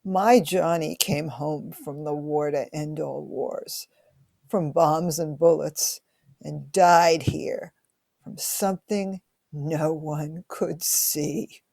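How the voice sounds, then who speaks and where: A woman playing a grieving ghost from 1918 who tells her loss in a mournful, measured way. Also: sits low, steady tone, vocal fry, a little mellow, no room sound, full character voice. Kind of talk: community radio play acting.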